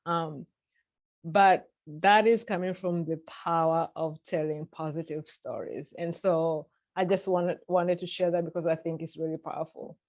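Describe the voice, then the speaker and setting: A woman's voice: low-pitched, fairly even intonation, measured pace, darkish tone. A woman from Tanzania answering questions on a webinar panel over a video call, earnest, with many ums and restarts. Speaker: church policy panelist.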